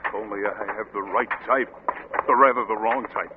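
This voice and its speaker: A man acting an earnest, dutiful young son in an old radio drama, speaking in a hesitant, halting way. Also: fairly low pitch, fairly even intonation, lightly acted. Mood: willing, nervous. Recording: dull, noisy old radio recording.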